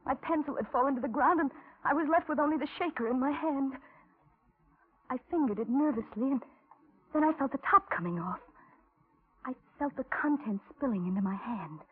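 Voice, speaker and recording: An actress playing a frightened young carhop, halting and melodramatic, in a 1940s radio suspense drama. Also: female voice, high voice, lively intonation, breathy edge. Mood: tense. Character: frightened young carhop recounting her nightmare ordeal. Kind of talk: 1940s radio drama acting, first-person narration. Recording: tinny 1940s radio recording.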